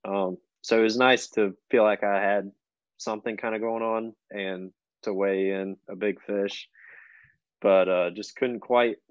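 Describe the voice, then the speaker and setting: A man's voice: on the low side, steady tone, measured pace, clear, resonant tone, no echo. A man chatting casually as a podcast guest, laid-back and slangy, often repeating himself. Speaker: podcast guest, tournament angler. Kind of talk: casual chat. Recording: dull, lo-fi call audio.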